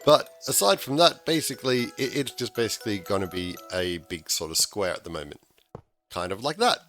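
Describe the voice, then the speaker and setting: A man, slightly hoarse because he is losing his voice, talking through a game-building tutorial in a chatty way with frequent restarts. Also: on the low side, lively intonation, brisk, fairly bright tone, soft background music. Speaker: gaming tutorial host. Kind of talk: casual game tutorial voice-over.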